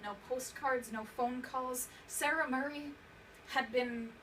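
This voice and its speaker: A woman acting a haunted young survivor of abuse in a dramatic stage monologue, halting and emotional, repeating words for emphasis. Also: high-pitched, fairly even intonation, pushed and bright, full character voice. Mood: subdued, reflective. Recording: distant mic, clearly noisy room recording.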